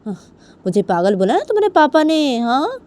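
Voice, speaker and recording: A woman's voice: sits high, sing-song, moderate pace, darkish tone, voice pushed up. A woman voicing an angry, aggrieved dinosaur mother in a story, scolding with repeated, badgering questions. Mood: scolding, threatening. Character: furious, aggrieved dinosaur mother, nagging and indignant.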